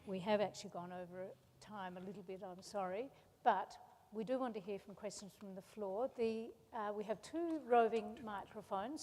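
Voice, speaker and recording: A woman's voice: medium pitch, a little mellow, a little hiss or hum. Woman moderator, cathedral reverb. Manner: polite, brisk directions. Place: large cathedral hall. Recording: cathedral reverb, faint ambience.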